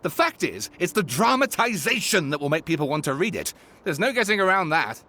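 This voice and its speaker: A man's voice: a little high, big pitch swings, brisk, pushed and bright, full character voice. An actor playing an eager young male amateur historian and writer who talks in a theatrical way and loves dramatic flourishes.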